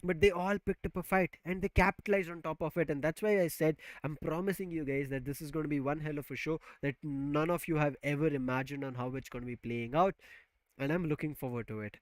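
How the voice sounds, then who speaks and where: A man casting a live esports match remotely over a headset mic, talking loudly and excitably to build hype. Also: on the high side, fairly quick, no echo, poor audio. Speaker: esports caster.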